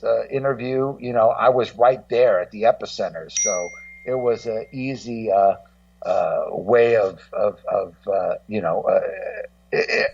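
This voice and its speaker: A man chatting casually in an interview, rambling and blunt, often halting and repeating words. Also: mid-range voice, creaky, a little mellow. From Los Angeles, United States. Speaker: guest co-host, documentary maker. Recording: dull, muffled, slightly distant sound.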